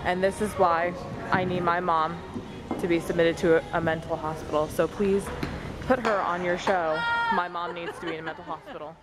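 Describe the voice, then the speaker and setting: A woman filming her family at an indoor mini-golf course, narrating with mock-serious, deadpan teasing. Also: fairly low pitch, normal speed, loud music behind the voice. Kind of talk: teasing running commentary. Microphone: handheld camera. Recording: loud noisy venue ambience.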